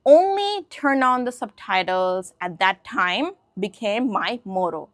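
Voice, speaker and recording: A woman's voice: mid-range voice, lively intonation, slow pace, dry recording. Hindi-speaking woman teaching english, chatty, keeps asking if you follow, dry close sound. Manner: conversational, checks in with listeners often. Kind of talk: casual spoken lesson to camera.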